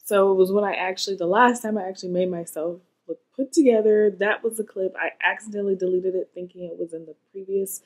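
A woman's voice: medium pitch, quick pace, few pauses, darkish tone, dry recording. A woman in her late twenties with an American accent, chatting informally and expressively to her viewers as a vlogger. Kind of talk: casual vlog chat to viewers. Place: small furnished room, later parked car.

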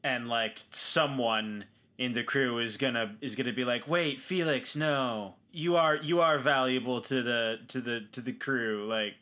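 A man's voice: mid-range voice, measured pace, few pauses, sharp tone. A man playing a tabletop game, improvising his character's thoughts casually and emphatically, repeating phrases as he goes.

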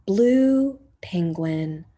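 Slow, gentle teacher reading aloud. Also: female voice, mid-range voice, lively intonation, breathy edge. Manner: slow, gentle storytelling with soft whispered passages. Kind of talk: children's picture book read-aloud. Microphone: webcam on a video call.

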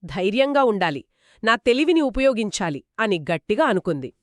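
A woman's voice: mid-range voice, sing-song, brisk, no echo, clean studio sound. A woman telling a children's story conversationally, engaged and dramatic as she speaks to young listeners.

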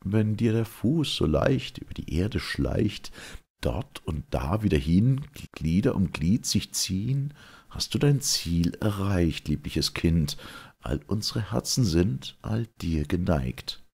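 A man playing a solemn classical chorus, declaiming verse in a measured way. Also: low voice, animated, vocal fry, a little mellow, breathy edge, heavily acted. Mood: admiring.

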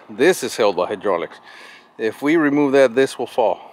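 A man's voice: medium pitch, expressive, moderate pace. A man chatting casually and jokingly while doing hands-on machinery work outdoors. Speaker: vlogger working on machinery. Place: outdoor gravel yard beside a metal shop building. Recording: noisy outdoor camera audio, machinery hum.

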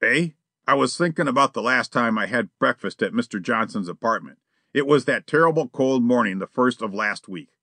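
A man voicing a relaxed, slangy burglar who chats casually and cheekily in an audiobook reading. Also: mid-range voice, normal speed, dry recording. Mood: dreamy.

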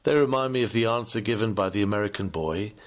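Low-pitched man reading a sermon. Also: quick pace. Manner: earnest, formal, oratorical. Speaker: reader of a classic sermon. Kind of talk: scripted sermon reading, preacher-like delivery.

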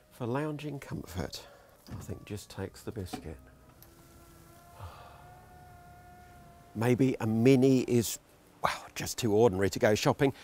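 An older presenter with a lively, up-and-down voice and a wry, dry sense of humour. He strolls through a large indoor exhibition hall, talking as he goes on a clip-on mic. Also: mid-range voice. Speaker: motorhome reviewer. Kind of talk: presenting a walkaround video.

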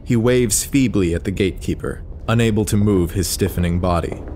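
A man's voice: fairly low pitch, fairly quick, a little mellow, soft background music. A man narrating a story in an audio drama, reading scripted prose as the narrator.

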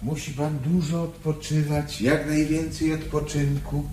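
An actor playing a calm sanatorium doctor in a Polish radio drama, explaining things in a measured, reassuring way. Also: male voice, on the high side, very noisy, natural voice.

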